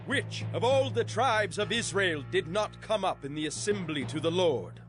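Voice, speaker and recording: Demanding high-pitched Israelite. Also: male voice, expressive, moderate pace. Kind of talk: dramatized scripture acting. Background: prominent music.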